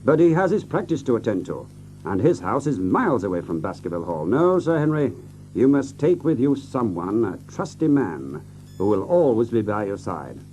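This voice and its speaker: A commanding gentleman detective played by a man in an audio drama. He is incisive and theatrical, with a mellow mid-pitched voice that swoops expressively, faint music underneath. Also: very animated, normal speed, natural voice. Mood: firm.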